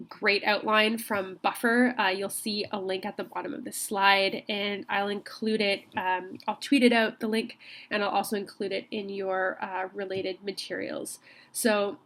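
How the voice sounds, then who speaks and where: A woman instructor giving a recorded online college lecture while she has a cold, speaking in a friendly, conversational way with frequent ums and restarts. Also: medium pitch.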